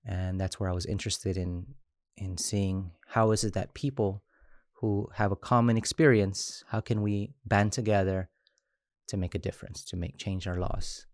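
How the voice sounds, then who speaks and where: A man in his thirties from Mexico, an immigrant rights organizer speaking in an interview, halting with frequent restarts. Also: low voice, fairly even intonation, darkish tone, no echo, studio quality. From Chiapas, Mexico. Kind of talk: interview conversation.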